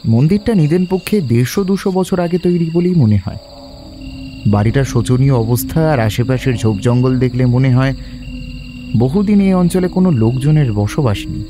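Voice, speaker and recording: An actor playing a resolute, quick-witted schoolboy adventurer in an audio drama, speaking in a decisive, earnest way. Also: male voice, medium pitch, expressive, music in the background, natural voice. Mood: observing. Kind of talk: Bengali audio drama acting.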